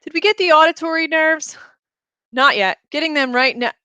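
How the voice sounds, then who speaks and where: A woman's voice: high voice, normal speed, sharp tone, no echo, voice pushed up. A woman playing a detached, businesslike surgeon in a story, talking in a clipped, matter-of-fact way. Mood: casual, detached.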